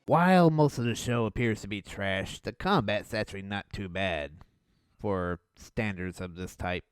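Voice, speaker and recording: A young man reviewing an old cartoon in a sarcastic, mocking voice-over with deadpan jabs. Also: on the low side, a little slow, smooth, dry recording.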